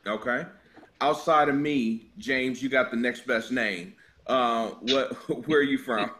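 A man's voice: medium pitch, a little slow, pushed and bright. A man hosting a podcast panel, chatting casually, teasing and asking each guest questions. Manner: laughing, teasing, asks questions. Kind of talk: casual group chat.

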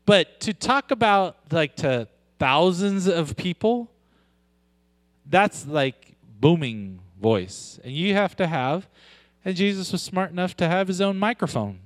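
A man with a loud, high-pitched voice preaches a sermon at a deliberate, unhurried pace. He mixes joking asides with slow, stressed lines of scripture. The sound is dry and close, with no room echo. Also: lively intonation. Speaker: pastor.

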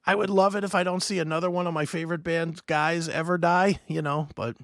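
Man, fifties, podcast host, rambling casual talk, somewhat high, gravelly. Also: brisk, dry recording, studio quality. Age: 50s. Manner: rambling, animated, many restarts.

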